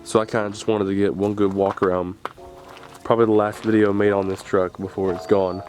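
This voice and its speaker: A man's voice: lowish voice, flat delivery, brisk, a little mellow, faint music behind. A young man with a stuffed-up, congested voice, rambling casually and swearing now and then as he walks around his truck for a vlog. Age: young adult. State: stuffed up from a cold. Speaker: car vlogger. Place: outdoors in a driveway by a truck.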